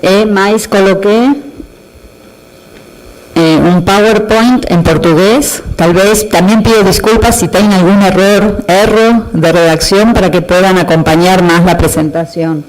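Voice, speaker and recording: A native Spanish-speaking woman with a Latin American accent, speaking slowly and carefully at an academic round table. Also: medium pitch, steady tone, a little mellow, rough recording. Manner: apologetic, slow and careful, mixing Spanish and Portuguese. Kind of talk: formal conference presentation. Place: university lecture amphitheater.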